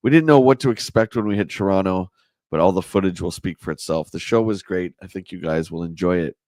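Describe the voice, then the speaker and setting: Man, hoarse, lowish voice, chatty stream host, dry close sound. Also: fairly quick, darkish tone, dry recording. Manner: chatty, answers viewer comments. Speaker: livestream host. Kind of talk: casual live chat reading a magazine.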